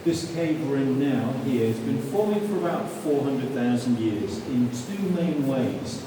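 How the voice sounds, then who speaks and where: A man's voice: medium pitch, animated, a little slow. A man guiding a group through a cave, chatting easily and asking the visitors questions as he explains. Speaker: cave tour guide. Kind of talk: guided tour talk to a group. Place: inside a limestone show cave. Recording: echoey cave, distant camera mic, noisy.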